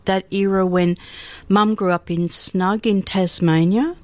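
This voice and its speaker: An older Australian woman from Melbourne, a radio guest telling reflective stories about her life with pauses and restarts. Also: medium pitch, steady tone, no room sound. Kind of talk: conversational storytelling.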